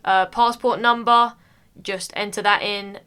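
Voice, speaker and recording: Woman, young adult, from the UK, relaxed tutorial narration, lots of fillers. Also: medium pitch, measured pace, clear, resonant tone. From United Kingdom. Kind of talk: casual screen-recording walkthrough.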